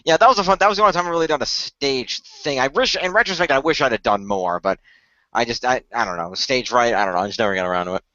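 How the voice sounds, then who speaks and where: A man's voice: medium pitch, fast talker, sharp tone, dry recording, highs cut off. A man co-hosting a casual podcast, talkative and wry in his banter. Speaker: podcast co-host.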